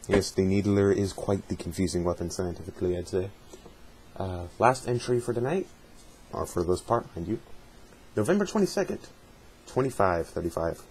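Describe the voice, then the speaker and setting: A man with a slightly husky voice doing a dramatic reading, with casual asides in between. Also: fairly low pitch, darkish tone, slight background noise, rough recording. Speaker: reader of a fan dramatic reading.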